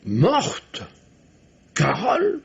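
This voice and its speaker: An actor playing a gruff, weary small-town veterinarian with a husky, creaky voice, in 1950s French radio drama. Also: male voice, high voice, wildly swooping pitch, extremely slow, exaggerated voice. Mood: stunned, grieving. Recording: dull, band-limited vintage radio recording.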